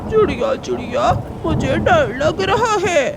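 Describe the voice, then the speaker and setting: A lazy, sly crow from an animated moral tale, acted out by a woman. He speaks in a high voice at a very slow, drawn-out pace, wheedling with an animated rise and fall. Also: very noisy, full character voice. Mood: frightened pleading.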